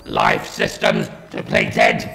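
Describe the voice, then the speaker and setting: A man's voice: slow, sharp tone, big-room sound, soft background music. A man voicing a hostile alien battle machine, barking harsh staccato commands through a grating robotic filter. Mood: failing, alarmed. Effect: robotic ring-modulator filter.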